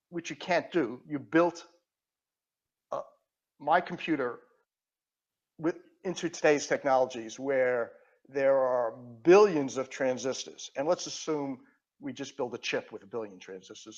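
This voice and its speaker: A man's voice: medium pitch, measured pace, long pauses, dull audio. Queens-accented man explaining things methodically. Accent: Queens New York. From Queens, New York. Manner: explanatory, methodical, frequent fillers and restarts. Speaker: guest. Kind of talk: casual podcast chat.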